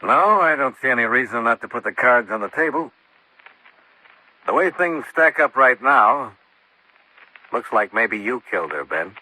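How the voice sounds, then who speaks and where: An American actor playing a shrewd, blunt insurance investigator in a golden-age radio mystery. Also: male voice, mid-range voice, big pitch swings, normal speed, long pauses, sharp tone, full character voice. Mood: blunt, accusing. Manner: blunt, probing, matter-of-fact. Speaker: lead actor in radio detective drama. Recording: muffled old-time radio recording.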